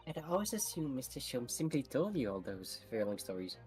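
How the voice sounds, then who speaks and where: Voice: medium pitch, very animated, brisk, darkish tone, soft background music, natural voice. A performer voicing a polite, inquisitive young character in a video game fan dub, with formal, earnest wording. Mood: curious.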